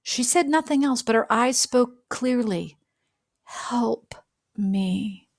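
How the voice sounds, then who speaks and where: Unhurried woman reading a children's storybook. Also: a little high, animated, dry recording, clean studio sound. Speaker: storybook reader. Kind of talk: expressive children's read-aloud narration.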